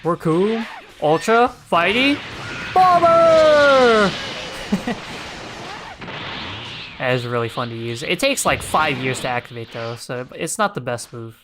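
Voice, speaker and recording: Excitable game streamer with animated pitch. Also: slow pace, long pauses, noisy background. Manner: excitable, playful outbursts. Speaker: livestreamer. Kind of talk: casual gameplay commentary with shouted move names.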